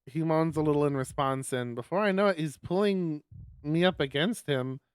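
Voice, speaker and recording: A man livestreaming and reading a visual novel aloud, sweary and animated, with exasperated asides and guitar music behind him. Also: a little high, normal speed, clean tone, no room sound, studio quality. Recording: loud music under the voice. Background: plucked guitar game music.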